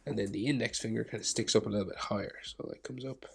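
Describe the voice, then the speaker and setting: A man with a head cold, narrating a step-by-step drawing tutorial, muttering quietly to himself and drifting into whispers. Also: on the low side, steady tone, quick pace, creaky, breathy edge. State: congested with a cold. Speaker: art tutor.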